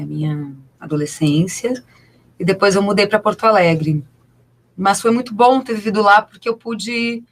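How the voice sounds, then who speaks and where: A woman from southern Brazil speaks with a Brazilian accent as a guest in a radio studio. She is talkative and conversational, often checking in with little tag questions as she explains. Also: low-pitched. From Rio Grande do Sul, Brazil. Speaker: guest, actress and producer. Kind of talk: conversational interview answers.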